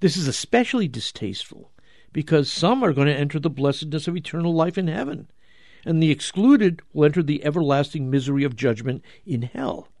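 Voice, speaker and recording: Male religious commentary host, emphatic and deliberate, noticeably creaky voice, very dry studio-like sound. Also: on the high side, expressive, darkish tone, no echo. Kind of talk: religious commentary with dramatic scripture reading.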